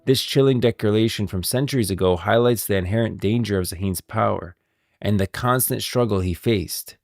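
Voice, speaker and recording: A man's voice: fairly low pitch, normal speed, no room sound. A man streaming game lore commentary, moving between scripted narration and off-the-cuff explanation.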